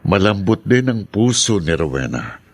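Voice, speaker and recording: A middle-aged Filipino brother in a radio drama, gentle and concerned. His voice is low and moves expressively. The sound is close and dry. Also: very animated, normal speed, no echo. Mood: relieved. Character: concerned, gentle younger brother of older woman.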